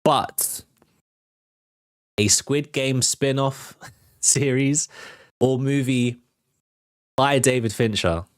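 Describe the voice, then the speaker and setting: A man's voice: mid-range voice, very slow, pauses often, no room sound, clean studio sound. A man hosting a film and TV news show, chatting to viewers in an informal, enthusiastic, conversational way. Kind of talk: casual entertainment news commentary to viewers.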